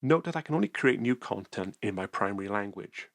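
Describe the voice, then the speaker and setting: Man, low voice, gravelly, dry close recording, calm step-by-step tutorial narration. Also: a little fast, no echo. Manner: calm, methodical step-by-step explaining. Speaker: software tutorial presenter. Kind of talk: scripted screencast narration.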